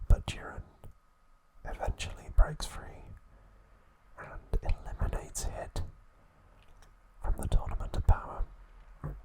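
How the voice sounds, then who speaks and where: A man narrating an ASMR recap as host, speaking in a soft, breathy whisper with a gentle, halting delivery. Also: poor audio.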